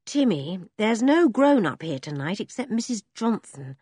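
A woman reading an audiobook voices a frightened, gentle young girl who talks in an anxious, pleading way. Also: medium pitch, big pitch swings, normal speed, slightly rough, dry recording, clean studio sound, pitched above the performer's own voice.

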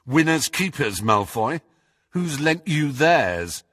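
A man's voice: a little high, big pitch swings, slow, fairly bright tone, no room sound, studio quality, heavily acted. A man voicing a brave, wry, mocking teenage boy hero in a storybook reading. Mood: panting, defiant.

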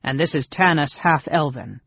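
A male narrator plays a middle-aged warrior uncle in a fantasy audiobook. He speaks with sincere, plain dignity and steady loyalty. The recording is dry and a bit muffled. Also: fairly high pitch, moderate pace, husky, dry recording, full character voice. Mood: dignified.

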